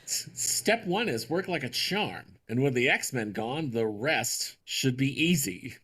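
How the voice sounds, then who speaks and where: A man's voice: mid-range voice, expressive, slow pace, a bit bright, slightly rough, voice pushed down, exaggerated voice. A man voicing a scheming, menacing villain prince in a hammy way, in a comic script read. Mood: scheming, coaxing. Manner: hammy, shifting accents.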